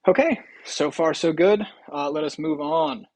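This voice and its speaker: A man's voice: on the high side, a little slow, background noise. A man hosting a game show with casual, improvised commentary in a rambling, offhand way.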